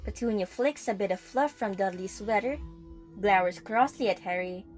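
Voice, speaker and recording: A woman's voice: mid-range voice, moderate pace. A woman reading scripted narration for an audio drama as its storyteller. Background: music.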